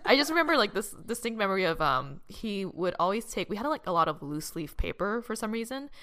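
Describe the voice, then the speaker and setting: Bright, animated woman telling stories. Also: fairly quick. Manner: animated storyteller, acts out her childhood self in a high voice. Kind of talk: casual chat.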